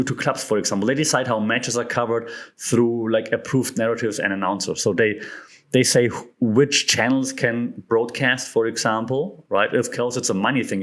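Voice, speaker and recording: German-accented man musing on media theory. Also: mid-range voice. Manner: joking asides, frequent fillers and self-corrections. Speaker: podcast host. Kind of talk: casual explanatory monologue.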